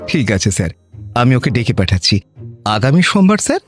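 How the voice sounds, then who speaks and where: A man's voice: mid-range voice, very animated, brisk, breathy edge, faint music behind. An actor playing a fussy, deferential male government officer in a comic radio drama.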